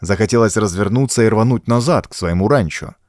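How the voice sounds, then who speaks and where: A man's voice: fairly low pitch, brisk, a little mellow, dry recording, studio quality. A man reading a horror story as an audiobook narrator, in a dramatic scripted style.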